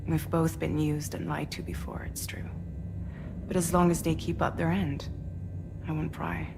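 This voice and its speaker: A woman's voice: low-pitched, level tone, normal speed, gravelly, background noise. A woman acting as a composed, resolute ship commander in a cinematic video game. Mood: composed, explanatory. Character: composed female commander of a civilian ship crew, welcoming, resolute.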